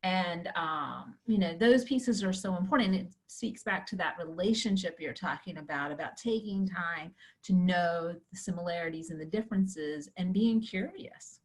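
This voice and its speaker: A woman who hosts a counseling interview, speaking reflectively and affirming her guest, with her sentences often trailing off softly. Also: on the low side, normal speed, few pauses, poor audio. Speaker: host, counseling educator. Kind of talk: conversational interview.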